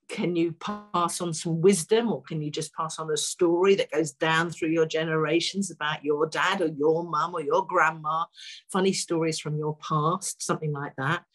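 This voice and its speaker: Husky older woman giving advice. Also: low-pitched, poor audio. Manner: encouraging, conversational. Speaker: guest speaker. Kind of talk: informal advice talk to parents. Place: furnished room at home. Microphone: video call, laptop microphone.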